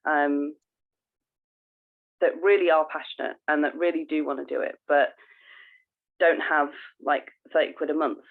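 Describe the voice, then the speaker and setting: A woman co-hosting a casual podcast, halting and earnest as she thinks aloud. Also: low-pitched, fairly even intonation. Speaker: podcast co-host. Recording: tinny, phone-like narrow band.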